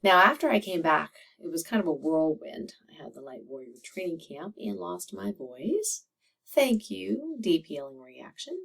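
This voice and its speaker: A middle-aged woman with a slightly raspy voice, a doctor chatting to camera, laughing often and using many fillers. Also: lowish voice, big pitch swings, measured pace, a bit bright, distant mic. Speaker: medical doctor and video host. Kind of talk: casual monologue to camera.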